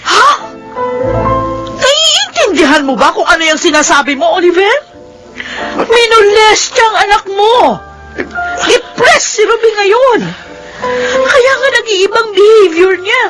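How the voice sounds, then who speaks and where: A woman's voice: extremely high pitch, poor audio. An actress with a Filipino accent playing an indignant, anguished mother in a radio drama, emotional, pleading and accusing. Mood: tearful anger. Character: anguished, indignant mother confronting her husband.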